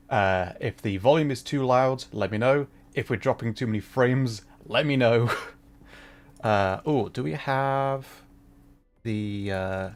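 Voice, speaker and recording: A man hosting a game livestream in a casual, chatty and jokey style, lightly self-deprecating. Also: mid-range voice. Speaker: livestreamer, host. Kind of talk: casual game stream chat.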